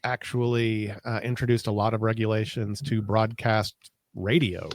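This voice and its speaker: A man's voice: on the low side, level tone, moderate pace, dry recording. A middle-aged American man co-hosting a podcast in casual conversation. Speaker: podcast co-host. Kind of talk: casual chat.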